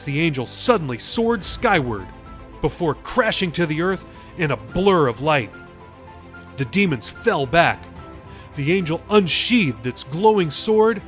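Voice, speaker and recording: A man reading his own novel aloud as an audiobook, with dramatic shifts in pace. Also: fairly high pitch. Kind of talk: audiobook narration.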